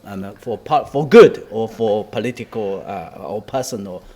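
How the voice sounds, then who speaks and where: Low-pitched man giving commentary on a news panel. His delivery is halting, full of restarts and fillers, with each point arriving in a measured burst. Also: expressive, darkish tone, husky.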